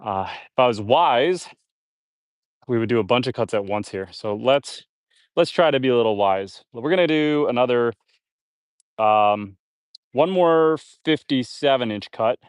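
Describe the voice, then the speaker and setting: A man's voice: medium pitch, unhurried, smooth, no room sound, studio quality. Chatty, slightly congested homesteader man. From Canada. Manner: relaxed, chatty, reads viewer comments aloud. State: a little congested. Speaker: homesteader live-streaming. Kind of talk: casual live-stream chat while building. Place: outdoors on a homestead.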